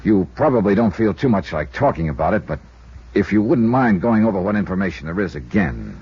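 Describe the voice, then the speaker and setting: Low-voiced, swooping American radio detective. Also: male voice, very animated, fairly quick, a little mellow, slightly rough, exaggerated voice. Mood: gentle probing. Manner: clipped hardboiled first-person narration and questioning. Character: suave freelance male insurance investigator, wry and probing. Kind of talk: 1950s American radio detective drama acting. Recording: dull vintage radio recording with music.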